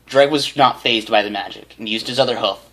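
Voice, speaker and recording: A man's voice: lowish voice, a little fast, fairly bright tone, low-quality recording. A man reading fanfiction aloud as an amateur, often stumbling over phrases and repeating them. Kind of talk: reading a story aloud with character voices.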